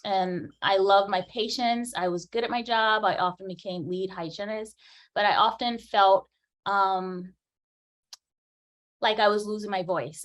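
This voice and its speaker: A woman in her forties, a dental hygienist, giving an earnest, animated conference talk and laughing often. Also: medium pitch.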